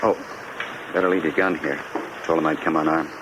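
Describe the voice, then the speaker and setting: Very noisy old radio drama: fast-talking American newsman, mid-pitched, earnest, uneasy. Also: fairly even intonation. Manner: hurried, plain-spoken. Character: earnest, uneasy male newspaper reporter. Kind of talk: 1950s radio drama acting. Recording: very noisy 1950s radio recording.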